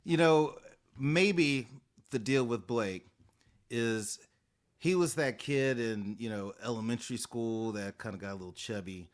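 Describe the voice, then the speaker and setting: A man co-hosting a casual podcast, giving his opinions in a measured, thoughtful way. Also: mid-range voice. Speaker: podcast co-host. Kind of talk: casual recap chat.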